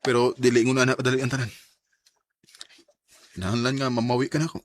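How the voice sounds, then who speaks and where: A man's voice: medium pitch, flat delivery, fast talker, natural voice. A man acting a hurt but forgiving young son in a radio drama, earnest and emotional, with a Cebuano accent. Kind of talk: radio drama acting and first-person narration.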